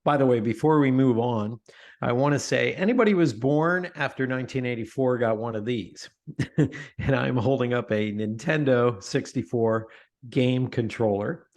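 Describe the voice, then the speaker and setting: A middle-aged man talking as a guest in a casual interview, emphatic and animated, often restarting his sentences. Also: mid-range voice, normal speed. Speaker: podcast guest, author.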